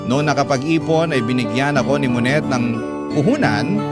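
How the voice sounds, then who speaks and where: A Filipino man acting as an earnest husband and father, telling his life story in measured, reflective radio drama narration. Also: medium pitch, natural voice. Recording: loud guitar music under the voice. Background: loud plucked guitar music.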